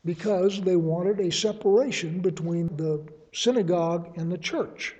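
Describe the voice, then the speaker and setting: A man teaching a verse-by-verse Bible study, reasoning aloud in a measured way and offering his readings as suggestions. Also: high voice, warm tone, breathy edge, long reverb tail, lo-fi sound.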